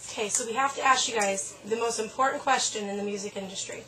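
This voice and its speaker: A woman's voice: a little high, quick pace, fairly bright tone, low-quality recording. A woman in her twenties interviewing a band, asking questions with a light, giggly manner. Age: 20s.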